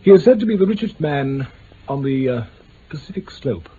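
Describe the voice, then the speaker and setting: A pompous English nobleman acted by a man with an upper-class accent and easily bruised dignity. He speaks stiffly, hesitating over delicate words, his pitch rising and falling freely. It is an old radio drama, muffled and faintly hissy. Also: animated, normal speed, mellow, lightly acted. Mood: stiff, reminiscing. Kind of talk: 1950s-60s BBC radio drama acting.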